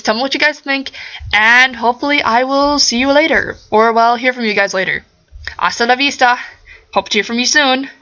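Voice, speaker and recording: A woman's voice: a little high, pushed and bright, lo-fi sound. A woman reading amateur fiction aloud and talking casually to her listeners. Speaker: amateur audio fiction narrator. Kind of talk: story narration and casual talk to listeners.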